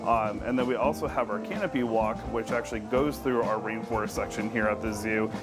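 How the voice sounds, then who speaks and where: A man's voice: fairly low pitch, steady tone, brisk. A man giving informative interview answers as a staff spokesperson for an attraction. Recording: loud music over interview. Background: music.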